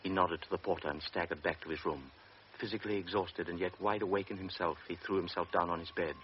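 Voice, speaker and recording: Deep-voiced radio drama narrator. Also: male voice, fairly even intonation. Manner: measured, grave storytelling. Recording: dull old radio recording with hiss.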